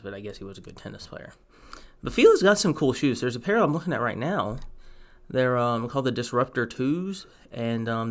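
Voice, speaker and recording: A young man chatting casually through a sneaker review, rambling with asides and filler words. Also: medium pitch. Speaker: sneaker vlogger. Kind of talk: casual product review to camera.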